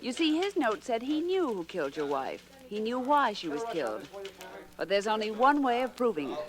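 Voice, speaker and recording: A pushy secretary-sleuth acted by a woman, with animated swings in a mid-pitched voice. It is vintage radio acting, with background sounds behind her. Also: close to an everyday voice. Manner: insistent, no-nonsense. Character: brisk, pushy female detective's secretary. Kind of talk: mid-century American radio detective drama acting.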